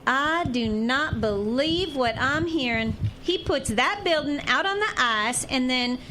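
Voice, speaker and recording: A very high-pitched, slow-drawling Texan bride, played by a woman, sassy and quick to scorn. Her lines swing up and down with folksy bite, in an audio drama. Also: animated, heavily acted. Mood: incredulous. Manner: drawling, folksy, sharp-tongued. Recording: noticeable background noise.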